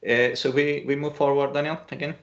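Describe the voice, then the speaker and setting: A man's voice: medium pitch, flat delivery, a little fast. A man from Colombia giving an online conference talk, hesitant, with many fillers and restarts, over muffled video-call audio. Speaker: presenter.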